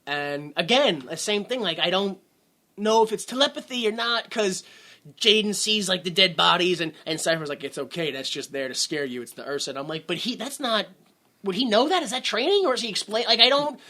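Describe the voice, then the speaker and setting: A man co-hosting a movie podcast, recapping the plot in casual chat, animated, laughing often and acting out characters in quick asides. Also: high-pitched. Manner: animated, swings between quiet mutters and loud outbursts. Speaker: podcast co-host.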